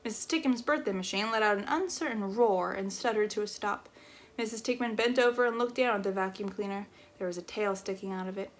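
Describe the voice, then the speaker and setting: A woman reading a children's chapter book aloud in an expressive storytelling style. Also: medium pitch, fairly quick.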